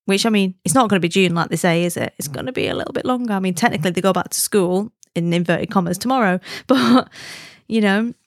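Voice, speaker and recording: A woman's voice: mid-range voice, fast, dry recording, clean studio sound. A woman in her thirties with a British accent, hosting a podcast in a chatty, self-deprecating way. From United Kingdom. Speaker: podcast host. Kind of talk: casual solo podcast chat.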